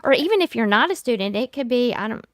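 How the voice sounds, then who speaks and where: A woman's voice: fairly high pitch, lively intonation, quick pace, dry recording, studio quality. A woman hosting a podcast interview, conversational and leaning on "you know". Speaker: podcast host. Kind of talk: casual interview talk.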